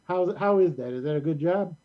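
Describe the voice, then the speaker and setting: A middle-aged American man hosting a casual show in a rambling, halting way. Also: a little high, lively intonation, dull audio. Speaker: host of a community show.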